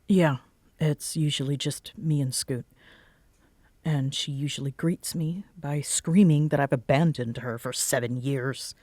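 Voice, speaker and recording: A woman's voice: deep voice, a little slow, no echo. A young woman playing a grumpy, teasing tsundere roommate in an audio roleplay, with a sarcastic, huffy delivery. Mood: awkward, apologetic. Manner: sarcastic, huffy, sighing exasperation with soft spots. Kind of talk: roleplay audio drama, conversational acting.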